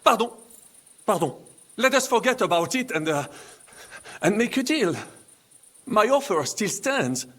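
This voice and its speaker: A slippery French nobleman in a dramatic video game, speaking in a high, husky voice with a French accent. He is theatrical and scheming, drops French phrases and swings widely in pitch over a music bed. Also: sing-song, measured pace, long pauses, a bit bright, full character voice. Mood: ingratiating. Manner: theatrical, wheedling, peppers speech with French phrases. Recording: music underneath the dialogue.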